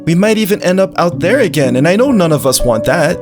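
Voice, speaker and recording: A performer voicing an earnest, childlike, food-loving male dog who narrates a visual novel in an animated, naive way. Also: mid-range voice, fast, music in the background, natural voice. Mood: earnest, hopeful. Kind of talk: first-person visual novel narration with character dialogue.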